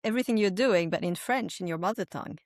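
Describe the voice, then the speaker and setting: A woman's voice: mid-range voice, fast, dry recording, studio quality. A woman hosting a podcast interview, asking her guest questions and encouraging her answers. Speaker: podcast host.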